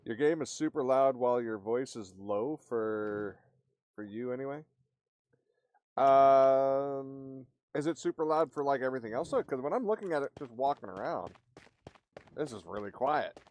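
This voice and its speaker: A man's voice: mid-range voice, expressive, normal speed, a little mellow, no room sound. A man livestreaming a game, chatting casually and in a rambling way with his viewers. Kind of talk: casual live game-stream chat. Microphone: streaming microphone with gain maxed out. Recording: clear background noise.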